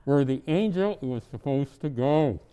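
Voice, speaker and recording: A man's voice: medium pitch, animated, a little slow, dark tone, clear, resonant tone, poor audio. A man reading aloud as a storyteller in the role of Santa, chatting casually between pieces. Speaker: storyteller presenting as Santa Claus.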